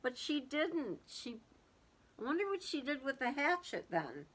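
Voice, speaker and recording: A woman's voice: high voice, very animated, unhurried, noisy background. A woman reading a storybook aloud to young children, expressively, with casual musing commentary.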